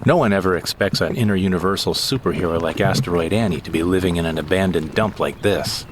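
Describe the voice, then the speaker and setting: Male narrator, deep and wry, first-person storytelling over audible noise. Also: fast.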